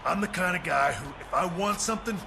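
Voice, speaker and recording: A man playing a cocky, sardonic, confrontational pro wrestler in a video game, with a gravelly voice and sarcastic delivery. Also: high-pitched, lively intonation, fairly quick. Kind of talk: video game story-mode voice acting. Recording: clear ambient background noise.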